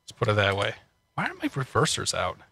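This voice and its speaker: Bright-voiced chatty sim streamer, congested. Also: male voice, lowish voice, wildly swooping pitch, fairly quick, gravelly, dry recording. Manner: chatty, frequent restarts, laughs often. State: slightly congested. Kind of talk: casual live-stream chat.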